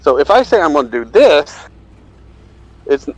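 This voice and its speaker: A man's voice: a little high, sing-song, measured pace, no echo, low-quality recording. A middle-aged man telling a rambling, self-deprecating anecdote in a casual way, acting out bits of dialogue. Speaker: storyteller.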